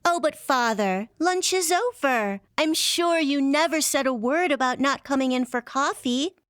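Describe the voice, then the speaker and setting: Actress as naive nineteen-year-old daughter, very high sweet voice, eager and polite, vintage radio drama style. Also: female voice, measured pace, fairly bright tone, dry recording, studio quality. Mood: eager, innocent. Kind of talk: old-time radio acting.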